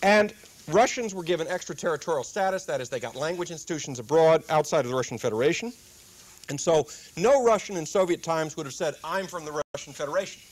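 A man's voice: fairly high pitch, animated, fast talker. A middle-aged man with a dry, wry humor, giving a public lecture at a desk microphone in a lecture room. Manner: dry wry humor, quotes with comic timing. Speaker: guest speaker.

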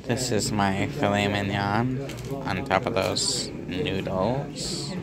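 A man's voice: lowish voice, slow. A young man casually reviewing a restaurant meal, talking plainly and hesitantly with many fillers. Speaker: food vlogger. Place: restaurant, then parked car.

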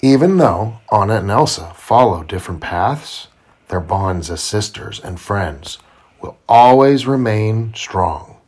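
A man reading a children's storybook aloud to his child in simple, deliberate phrasing. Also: on the low side, lively intonation, darkish tone, slightly rough. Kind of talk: children's read-aloud narration.

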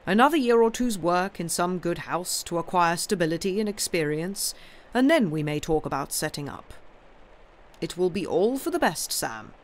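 Man voicing a gruff, stern old uncle. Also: sits high, expressive, moderate pace, a little hiss or hum. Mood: firm, decided. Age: older. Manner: gruff, blunt, lecturing, old-fashioned phrasing. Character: gruff, stern elderly uncle scolding his wayward nephew.

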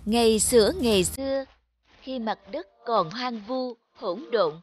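A woman's voice: on the high side, a little slow. A woman reading scripted fairy tale narration.

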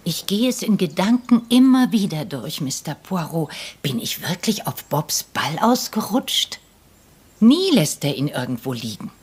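A woman's voice: fairly low pitch, big pitch swings, measured pace, a little mellow, breathy edge, heavily acted. An actress playing a frail, wealthy old lady in a crime radio play, wry and theatrical, moving between weary and pointed. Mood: anxious.

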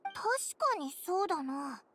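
Very high, squeaky, cheeky little fairy. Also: female voice, measured pace, slight background noise, full character voice. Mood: surprised, curious. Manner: blunt, boyish speech, excitable. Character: tiny floating fairy companion, cheeky, childlike, impatient. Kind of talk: anime game voice acting.

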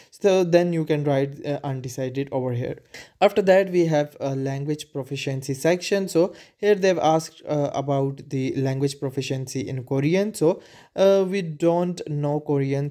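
A man's voice: on the high side, dark tone. A man whose first language is Urdu, walking through a screen-recorded tutorial with frequent hesitations and filler sounds. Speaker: tutorial presenter.

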